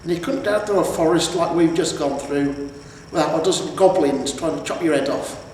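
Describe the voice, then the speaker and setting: Man acting an old world-weary barbarian hero, storybook, wistful, hesitant, higher pitch, far from mic. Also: moderate pace, darkish tone, natural voice. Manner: wistful, hesitant, plain-spoken. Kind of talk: storybook character reading. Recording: echoey reverberant room, distant, faint hiss.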